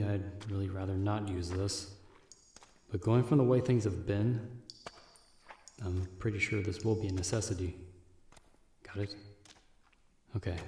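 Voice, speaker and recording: A man playing a calm, world-weary half-vampire hunter in an audio roleplay, speaking in a measured, understated way as a protective mentor to a companion. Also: on the low side, husky. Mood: low, focused. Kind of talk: ASMR audio roleplay acting.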